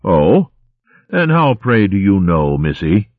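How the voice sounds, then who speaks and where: A man's voice: low voice, sing-song, slow, no echo, narrow, tinny audio, pitched above the performer's own voice, heavily acted. A man voicing a pompous greedy male hare. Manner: blustering upper-class slang.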